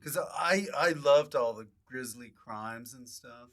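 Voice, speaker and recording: Bright-voiced male podcast host. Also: mid-range voice, slow. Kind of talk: casual comedy podcast chat.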